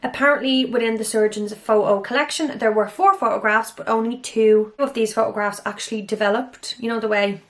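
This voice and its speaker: A woman hosting her own channel, chatting her way through a mystery topic, with a slightly hoarse, raspy voice and an enthusiastic manner. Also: fairly high pitch, steady tone, fairly quick, hardly any pauses. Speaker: YouTube channel host. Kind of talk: casual monologue on a mystery topic.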